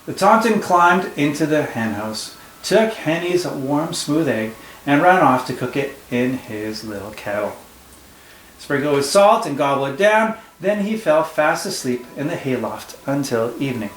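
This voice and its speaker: Expressive male teacher reading aloud. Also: a little high, a little slow, a little hiss or hum. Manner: warm, expressive storytelling with asides. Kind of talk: read-aloud storytelling for children. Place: room at home. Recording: echoey room with faint ambience.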